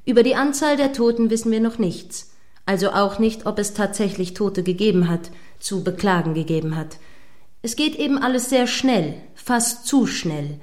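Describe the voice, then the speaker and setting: A woman playing an earnest radio news anchor in a radio play, with a formal, measured way of questioning. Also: a little high, a little mellow, lightly acted. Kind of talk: satirical radio play as live news broadcast.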